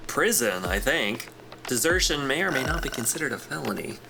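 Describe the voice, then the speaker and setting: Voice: mid-range voice, very animated, brisk, vocal fry, background noise. An actor playing a glib, friendly corporate clerk in a sci-fi audio drama, breezy, wry and casual in a naturalistic style. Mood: wry, matter-of-fact. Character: glib, teasing, friendly corporate clerk smoothing things over.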